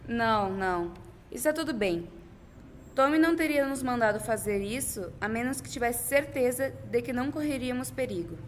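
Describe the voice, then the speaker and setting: Radio drama adventuress, female, fairly high voice, urgent and take-charge, acted by an amateur girl, noisy recording. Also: lively intonation, moderate pace, close to an everyday voice. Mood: reassuring. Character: brisk, reassuring young woman adventurer on the run.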